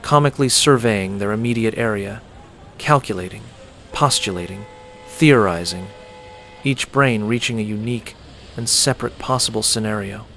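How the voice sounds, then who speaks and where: A man reading a dramatic scripted story as a storyteller, with deliberate, theatrical phrasing. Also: fairly low pitch, a bit bright, slight background noise. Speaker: storyteller and host.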